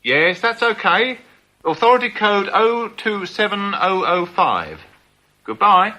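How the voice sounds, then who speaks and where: A jumpy male crook in a radio drama, high-voiced and very sharp. His anxious, hurried lines swing around in pitch. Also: sing-song, full character voice. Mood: nervous.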